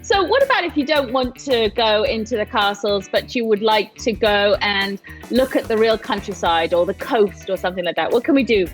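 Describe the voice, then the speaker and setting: A woman's voice: mid-range voice, animated, normal speed, few pauses, soft background music. A woman from Britain hosts a travel show and chats with her guests on a video call, laughing easily.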